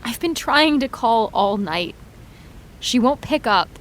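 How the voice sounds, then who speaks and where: A woman voicing an anxious, guilt-ridden teenage girl in an audio drama, talking in a lively, emotional way. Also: fairly high pitch. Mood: upset. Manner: lively, emotional, quick to protest.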